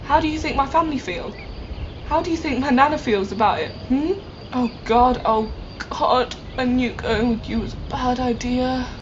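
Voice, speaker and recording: A woman's voice: high-pitched, moderate pace, close to an everyday voice. A woman playing an exasperated young time-travel companion in an amateur audio drama, indignant and scolding. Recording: muffled home recording, noticeable noise.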